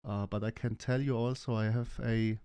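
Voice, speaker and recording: A man with a calm, soothing voice, chatting casually in a live crypto AMA and laughing often. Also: lowish voice, normal speed, smooth, no echo. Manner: laughs often while talking. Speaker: crypto project team member.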